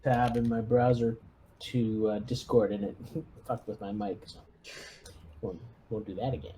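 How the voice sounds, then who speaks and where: A man's voice: fairly low pitch, steady tone, unhurried, dark tone, a little hiss or hum, low-quality recording. A man chatting casually as a player in an online tabletop game, speaking into a voice-chat microphone.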